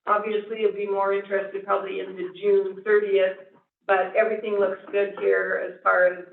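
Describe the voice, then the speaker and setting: A woman's voice: mid-range voice, little pitch movement, normal speed, gravelly. A woman giving a finance report at a school board meeting, plain-spoken and thinking aloud with fillers. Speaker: school district finance officer. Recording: echoey room, far from mic, thin lo-fi line.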